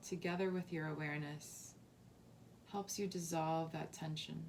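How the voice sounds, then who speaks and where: A woman leading a guided body scan meditation as a teacher, softly and calmly, with unhurried phrasing and long pauses. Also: low-pitched, slight background noise. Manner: soft, unhurried, calming instruction with long pauses.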